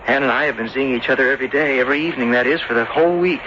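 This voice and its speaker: An actor playing an earnest, lovesick young seafarer back home, speaking haltingly in radio drama style. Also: male voice, medium pitch, natural voice. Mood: hopeful. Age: young adult. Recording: dull, noisy old radio broadcast.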